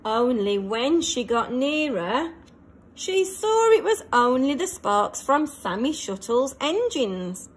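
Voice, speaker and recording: A woman reads a picture-book story to young children in a high, swooping voice. She takes it slowly and plays up the storytelling like an early years teacher. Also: sing-song. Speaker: primary school early years teacher reading aloud. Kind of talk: expressive children's storybook reading.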